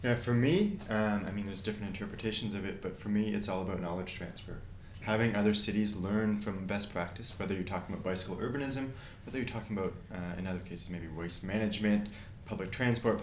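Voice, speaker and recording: A man from Toronto with a Canadian accent, a guest urban planner who talks quickly and agreeably. His voice is very low. The recording has a roomy echo. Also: slight background noise.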